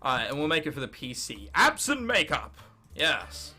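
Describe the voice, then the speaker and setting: A man's voice: a little high, huge pitch swings, moderate pace, sharp tone, faint music behind. A young man of about nineteen with an English accent, giving casual, jokey gaming commentary and reading game text aloud. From England. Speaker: gaming video host.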